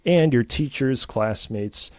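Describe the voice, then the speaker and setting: A man's voice: medium pitch, animated, slow, no room sound. A man in a comedic monologue, smug and pompous, talking himself up at length and wandering through his sentences with constant ums and restarts. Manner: smug, pompous and self-aggrandizing; meandering, full of ums and restarts. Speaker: comedy channel host.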